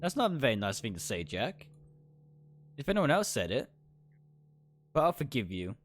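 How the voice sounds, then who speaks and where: A man's voice: mid-range voice, big pitch swings, moderate pace, lightly acted. A man plays a sly young nurse from a visual novel, sweet on the surface and menacing underneath. The delivery is lilting and condescending, with faint music behind it. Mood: smug.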